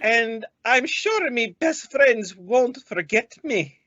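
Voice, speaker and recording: Vain, blustering landlady, acted by a woman, radio comedy, bright voice, lo-fi recording. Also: on the high side, animated, slow, dry recording, full character voice. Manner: blustering, indignant, swooping. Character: feisty, vain, easily offended Irish landlady. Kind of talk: old-time radio comedy acting. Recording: dull lo-fi recording.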